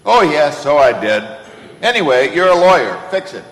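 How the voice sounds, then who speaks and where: A man's voice: a little high, sing-song, normal speed, slight background noise. An actor playing a kindly, emphatic old man who believes he is Santa, in an old-time radio play. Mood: rallying, decisive. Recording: echoey hall with long reverb.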